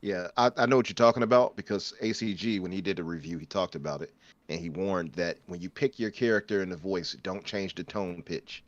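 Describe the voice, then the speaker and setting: Very deep-voiced, agreeable man. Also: fairly quick, no echo, lo-fi sound. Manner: agreeable, hesitant, stumbling over words. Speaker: podcast guest. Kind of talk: casual gaming podcast chat.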